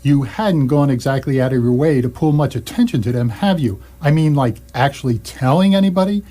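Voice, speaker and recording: A man's voice: mid-range voice, expressive, a little fast, warm tone, natural voice. A man playing an indignant, stubborn and sarcastic homeowner in a comic radio play, with a dry delivery.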